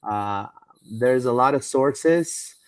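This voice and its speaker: A man hosts a live soccer talk stream in an easygoing, rambling way, restarting sentences and leaning on fillers. His voice sits at an ordinary middle pitch. The sound is dry and close, with no room around it. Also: slow, smooth, dry recording. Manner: rambling, with frequent fillers and repeated words. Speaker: podcast host. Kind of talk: casual live-stream sports chat.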